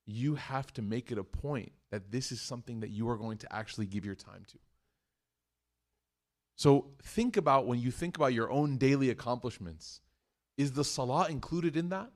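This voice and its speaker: A man with a middle-pitched voice delivers a sermon to a gathered audience. He talks in a casual, joking way, at times rising to loud emphasis and then dropping to quiet, earnest remarks. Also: normal speed. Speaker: mosque speaker. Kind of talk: live sermon. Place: room with an audience.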